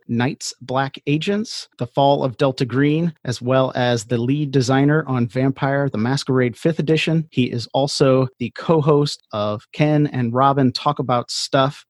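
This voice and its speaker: A man hosts an interview podcast in a medium-pitched voice. The sound is dry and close, with no room around it as he presents the show and puts questions to a guest. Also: no echo.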